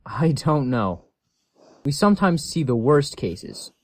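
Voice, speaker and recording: A man's voice: medium pitch, very animated, slow, dry recording, heavily acted. Man playing caring shelter worker, dry close sound. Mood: grave, explanatory. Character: caring, cautionary male animal shelter worker. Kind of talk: scripted audio story acting.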